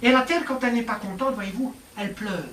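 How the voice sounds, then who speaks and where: A man's voice: very high voice, quick pace, a bit bright, a little hiss or hum. A man performing as a naive, grandiloquent stage clown in a live comedy act, declaiming theatrically. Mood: poetic. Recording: roomy theatre, from far off, with music.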